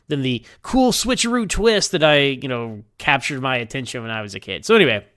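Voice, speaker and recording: A man with a clear, resonant voice of medium pitch records informal commentary. He rambles in an unscripted way, halting and repeating words. The sound is close and dry, with no room echo. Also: expressive, fairly bright tone. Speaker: podcast listener sending recorded feedback. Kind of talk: casual, unscripted episode review.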